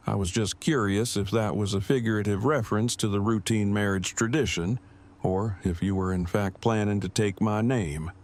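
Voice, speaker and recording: Man playing a low-voiced, stiffly formal groom. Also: moderate pace, a little mellow, lightly acted. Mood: earnest, curious. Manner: stilted, wordy, formal phrasing. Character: Eugene, verbose, formal, pedantic, devoted groom. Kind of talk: audio drama acting.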